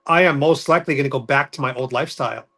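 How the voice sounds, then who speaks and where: A man's voice: medium pitch, rapid-fire, fairly bright tone. A man who grew up in New York City, a podcast guest recounting his life in a matter-of-fact, orderly way. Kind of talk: casual podcast interview.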